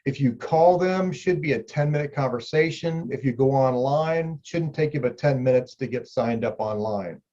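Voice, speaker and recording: A man's voice: medium pitch, normal speed, hardly any pauses, warm tone, highs cut off. Chatty middle-aged man explaining benefits. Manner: conversational, repeats key points, addresses viewer directly. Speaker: insurance agent explaining benefits. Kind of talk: explainer video talk.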